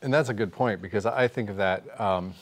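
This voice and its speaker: An American man in his thirties hosts a casual discussion at a studio desk. His voice is fairly low, caught on a lapel mic. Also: a little fast. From United States. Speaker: panel discussion host.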